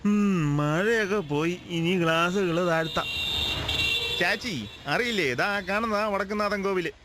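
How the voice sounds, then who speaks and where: An actor playing a talkative, familiar driver with a chatty, colloquial manner in a radio drama. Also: male voice, high voice, normal speed, natural voice. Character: talkative, familiar male driver, irritable on the road. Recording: vehicle noise behind voice. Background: moving vehicle ambience.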